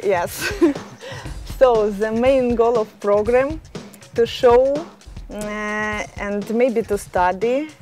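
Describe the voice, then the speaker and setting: A woman in her thirties from Estonia, speaking careful, halting as an interview guest outdoors on a terrace. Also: mid-range voice, a little mellow. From Estonia, born in Ukraine. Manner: halting, careful non-native English with many pauses. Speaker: interview guest, fitness champion. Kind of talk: casual interview answers. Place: outdoor terrace, hillside view.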